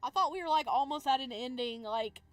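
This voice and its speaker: A woman hosting a gaming video, moving between expressive story narration and casual, animated commentary. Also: high-pitched, brisk, dry recording. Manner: animated, exclamatory asides. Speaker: gaming video host reading a visual novel.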